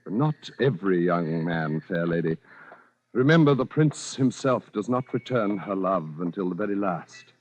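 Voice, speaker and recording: Pompous deep-voiced dreamer, old radio. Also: male voice, animated, moderate pace, a little hiss or hum. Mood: courtly. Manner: pompous, grandiose, formal diction. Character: pompous, delusional husband who fancies himself a secret prince. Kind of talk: 1940s radio suspense acting. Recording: muffled old radio recording with music.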